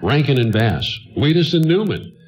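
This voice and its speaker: A man's voice: medium pitch, very animated, normal speed, darkish tone, lo-fi sound, soft background music, natural voice. An actor playing a harried, good-natured father and producer in a radio play, speaking with theatrical, exasperated emphasis.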